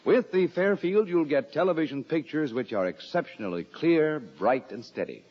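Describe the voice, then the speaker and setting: A man's voice: fairly high pitch, expressive, moderate pace. A man with an American accent reading a scripted 1950s radio advertisement in a polished salesman's delivery. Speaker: commercial announcer. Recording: dull lo-fi radio, long hall reverb.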